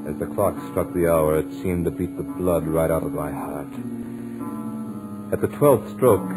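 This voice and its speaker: An actor playing a terrified doomed man, telling his story with dramatic, deliberate dread in 1940s radio horror style. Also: lowish voice, close to an everyday voice, rough recording. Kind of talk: 1940s radio horror acting, first-person narration. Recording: old 1940s radio recording with music.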